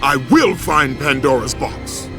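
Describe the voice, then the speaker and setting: A vengeful ancient Greek warrior from a video game. He declaims fiercely and grandly, his pitch swinging widely, with music clearly behind him. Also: expressive, a little slow. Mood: furious defiance.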